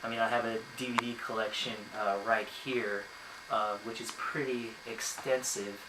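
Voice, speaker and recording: A man's voice: medium pitch, level tone, moderate pace. A young man from America talking casually to camera in his bedroom, hesitant and full of ums and uhs. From United States. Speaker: vlogger. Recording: echoey room, noisy background.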